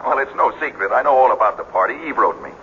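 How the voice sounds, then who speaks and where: An American bantering, affectionate leading man in an old radio drama. His delivery is breezy and teasing, with lively swings of pitch. The sound is muffled, with slight noise. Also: fast. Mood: playful, affectionate. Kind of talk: 1940s-50s radio drama acting.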